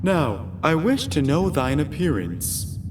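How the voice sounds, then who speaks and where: A man voicing a solemn, all-knowing godlike creature in a video game, with a grand, archaic, measured delivery. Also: fairly high pitch, sing-song, background noise.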